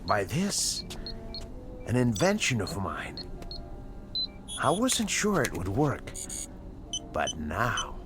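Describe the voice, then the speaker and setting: An actor playing an earnest, proud scientist and inventor in a classic television drama, with measured, precise, educated diction. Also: male voice, fairly low pitch, huge pitch swings, over music, heavily acted. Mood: calm, proud.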